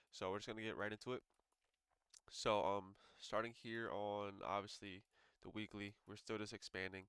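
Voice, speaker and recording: Low, stuffy-voiced man talking charts. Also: a bit bright, clean tone, dry recording. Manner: loose and conversational, frequent "um" and "so". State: stuffy and congested. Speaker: day trader reviewing charts. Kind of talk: casual screen-share market walkthrough.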